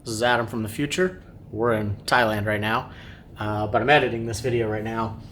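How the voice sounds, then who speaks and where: A man's voice: fairly even intonation, a little fast, fairly bright tone. A man who vlogs family travel, giving an unscripted recap in a downbeat, regretful manner. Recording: clear background noise, low rumble.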